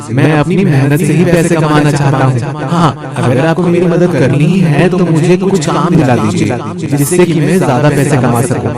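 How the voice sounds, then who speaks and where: Man as young shoe-shine boy, raised pitch, earnest, polite, gentle, cartoon moral story, loud crowd behind. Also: far from the mic, lightly acted. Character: Mohan, kind, hardworking, self-sacrificing young shoe-shine boy. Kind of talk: scripted Hindi animated moral story acting.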